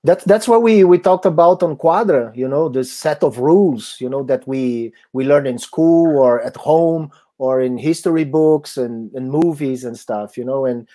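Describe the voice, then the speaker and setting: Mellow-voiced man reading viewer questions. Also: medium pitch. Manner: reads viewer messages, quick agreeing interjections. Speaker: band guitarist and co-host. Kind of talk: live Q&A chat. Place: home room, indoors.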